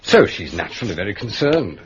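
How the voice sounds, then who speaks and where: An actor with an upper-class English accent playing a mild, wry gentleman detective in a radio drama, understated and polite. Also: lowish voice, sing-song, brisk, lo-fi sound, slight background noise. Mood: wry, intrigued.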